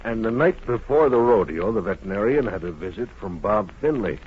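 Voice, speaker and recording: An actor playing a plain-spoken, folksy small-town sheriff in an old western radio drama. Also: male voice, on the low side, expressive, lightly acted. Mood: pondering. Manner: folksy, deliberate questioning. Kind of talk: 1950s western radio acting. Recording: muffled, hissy old radio recording.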